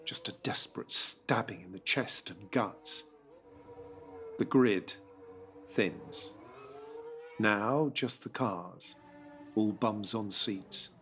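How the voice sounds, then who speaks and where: A man narrating a dramatic audio drama, hushed and tense, then urgent. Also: fairly low pitch, slow, long pauses. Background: music.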